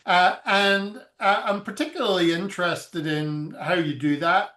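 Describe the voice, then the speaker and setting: A man's voice: high-pitched, a little slow, pushed and bright. Hesitant male interviewer in an echoey room. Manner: hesitant, many filler sounds. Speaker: interviewer, host. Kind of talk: interview questions.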